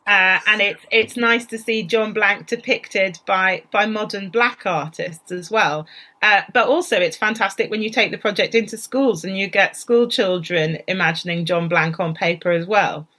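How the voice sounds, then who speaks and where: A woman's voice: mid-range voice, normal speed, sharp tone. A woman talking as a historian in a panel discussion, thinking aloud and often circling back over her phrases. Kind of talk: conversational expert discussion.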